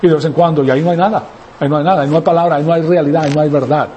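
Emphatic male preacher, mellow voice. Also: on the high side, fairly even intonation, very fast, a little hiss or hum. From Venezuela. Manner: emphatic, rhetorical questions, frequent "¿ok?" tags. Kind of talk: sermon teaching.

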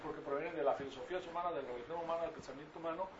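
Older Venezuelan preacher, muffled and distant. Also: male voice, fairly high pitch, steady tone, racing. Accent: Venezuelan Spanish. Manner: didactic, repetitive, with rhetorical check-in questions. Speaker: preacher teaching a congregation. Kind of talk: informal Bible-teaching sermon. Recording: distant mic, muffled, clearly noisy.

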